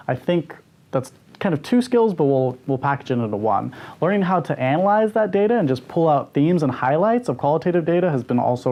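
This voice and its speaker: A man's voice: mid-range voice, a little mellow. A young man from Northern California, a graduate student intern, describing his internship work in an upbeat, lightly joking way. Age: young adult. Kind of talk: interview testimonial.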